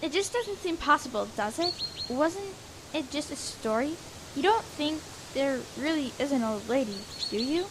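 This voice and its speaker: Young girl camper played by a female actor, hesitant, doubtful, high-pitched, amateur radio play. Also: expressive, normal speed. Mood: sleepy, doubtful. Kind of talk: amateur audio drama acting. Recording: clear background noise.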